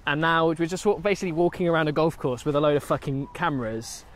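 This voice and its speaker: A man's voice: on the high side, fast, background noise. A young British man chatting casually and jokily outdoors as he co-hosts a film vlog on a walk. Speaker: co-host of a film discussion vlog. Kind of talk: casual chat while walking. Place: outdoors, walking in woods and a golf course.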